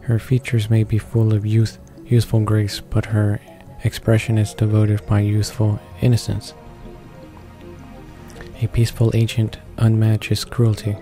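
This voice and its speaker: Male gaming host, read-along narration. Very flat, dark lowish voice, stumbles over words. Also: measured pace. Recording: faint music behind the voice. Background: game music.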